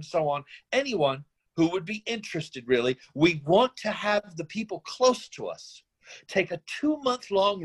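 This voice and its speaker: A man acting a middle-aged, free-spirited father in a staged screenplay reading, earnest, effusive and persuasive, with halting phrases. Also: fairly high pitch, a bit bright.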